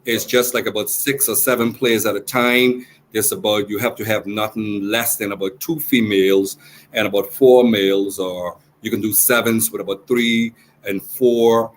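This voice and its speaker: A man's voice: fairly low pitch, steady tone, normal speed, low-quality recording. A man, a rugby coach speaking earnestly and proudly in a remote interview, often repeating himself. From Turks and Caicos Islands. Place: outdoors beside team. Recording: faint background noise.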